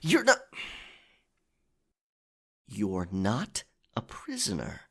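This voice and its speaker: A man plays a roguish pirate captain in an ASMR audio drama, low and husky. He takes his time with gallant, old-fashioned phrases, courteous yet firm about who commands the ship. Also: huge pitch swings, extremely slow, exaggerated voice. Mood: reassuring, firm. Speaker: audio roleplay performer. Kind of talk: ASMR audio roleplay, intimate dramatic acting.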